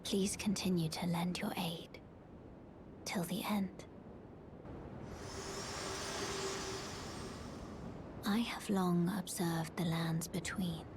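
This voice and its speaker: Slow, hushed, solemn maiden guide. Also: female voice. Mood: quietly resolute. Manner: measured, solemn, restrained. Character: solemn young maiden guide, quiet, mysterious, resolute. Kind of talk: hushed, formal fantasy game acting. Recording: loud rumbling background noise.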